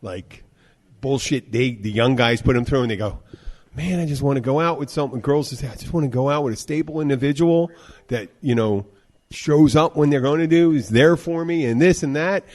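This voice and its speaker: A man's voice: mid-range voice, brisk, darkish tone. A man in his fifties, a bartender hosting a casual podcast chat, rambling and acting out what people say. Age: 50s. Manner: rambling, acts out quoted voices.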